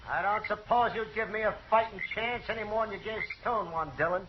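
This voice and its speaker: An actor playing a bitter, defiant, cornered outlaw in an old radio western, on a dull vintage recording. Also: male voice, high-pitched, fairly even intonation, fairly quick, a little hiss or hum, lightly acted. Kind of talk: 1950s radio western acting.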